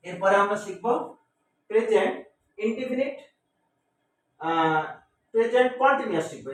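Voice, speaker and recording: A man's voice: high-pitched, a bit bright. A man with a Bengali accent teaching grammar in a didactic, classroom style, repeating phrases, spelling words out and answering his own questions. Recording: echoey room, distant mic, faint noise.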